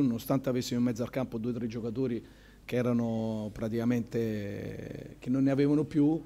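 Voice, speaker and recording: A man in his forties, a football coach, answering questions at a post-match press conference in a measured, thoughtful way, with many pauses. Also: medium pitch, dark tone, slight background noise. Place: press room in front of a sponsor backdrop. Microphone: table microphone.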